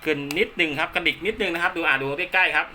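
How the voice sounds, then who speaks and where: A man's voice: high-pitched, quick pace, pushed and bright, slight background noise. A man giving a casual hands-on demonstration as a radio hobbyist, recorded indoors at home. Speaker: amateur radio hobbyist presenting his own video.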